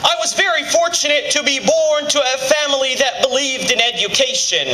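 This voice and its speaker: A man in his twenties from Kuwait giving an impassioned patriotic speech at a political rally, with emphatic phrasing and deliberate pauses. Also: very high voice, sharp tone. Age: 20s. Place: fairgrounds rally, speaking to a crowd. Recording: echoing, noisy outdoor PA recording.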